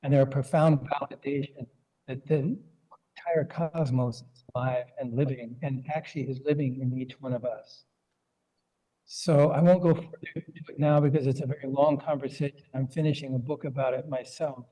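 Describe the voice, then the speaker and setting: An older man offers a long, earnest remark in a conference question session. His voice is mellow and warm, and he pauses often with ums. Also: medium pitch, steady tone, poor audio. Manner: measured and earnest, with frequent ums. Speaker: conference participant. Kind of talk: comment in a conference Q&A.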